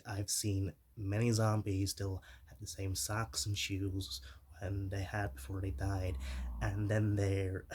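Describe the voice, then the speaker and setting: Low, hushed man as an easygoing zombie. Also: slight background noise, lightly acted. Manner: halting, chatty, reassuring, with sighs. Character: shy, easygoing male zombie mentoring a new undead. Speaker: ASMR performer. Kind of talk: ASMR roleplay, soft-spoken with whispering.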